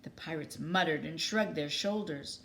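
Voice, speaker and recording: A woman reading a children's story aloud expressively, with dramatic pauses and emphasis. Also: low-pitched, gravelly, fairly bright tone, slight background noise.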